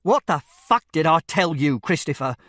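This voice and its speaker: A man voicing an angry, exasperated, foul-mouthed father in an audiobook, speaking slowly and forcefully. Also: fairly high pitch, animated, no room sound, studio quality. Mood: loud anger. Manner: slow, forceful, swearing, swinging from quiet menace to loud.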